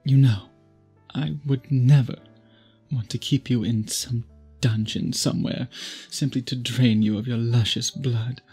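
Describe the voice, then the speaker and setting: A man's voice: medium pitch, steady tone, measured pace, warm tone, breathy edge, soft background music. A man playing an obsessive, old-fashioned vampire suitor in an intimate ASMR roleplay, speaking in formal, archaic, possessive phrases. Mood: intense, devoted.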